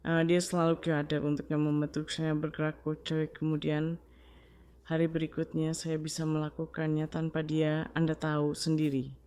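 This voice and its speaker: A speaker recounting learning to walk again after a stroke, steady and determined with some fillers. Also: medium pitch, moderate pace, clean tone. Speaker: stroke survivor. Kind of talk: personal testimony.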